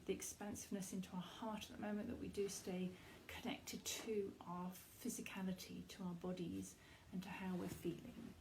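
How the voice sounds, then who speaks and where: A woman leading a guided meditation, giving soft, unhurried instructions in a soothing, reassuring manner with pauses between phrases. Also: mid-range voice, a little hiss or hum, low-quality recording.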